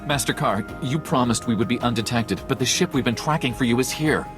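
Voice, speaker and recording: A man's voice: medium pitch, brisk, music in the background, close to an everyday voice. An actor voicing an anxious, deferential male technician in a video game, sounding nervous and flustered. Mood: worried.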